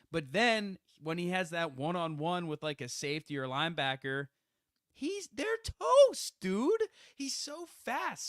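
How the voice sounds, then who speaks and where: Animated young man on a sports podcast. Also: fairly high pitch, no room sound, clean studio sound. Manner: animated, rambling with restarts. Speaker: podcast co-host. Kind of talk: casual sports chat.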